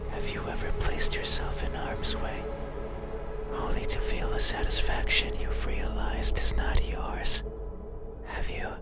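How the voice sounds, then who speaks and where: An actor voicing an eerie, curious bedside monster in a horror game, with a breathy whisper and halting, intimate phrasing. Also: lightly acted. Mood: probing. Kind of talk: ASMR horror game monologue. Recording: loud music behind the whisper. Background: music.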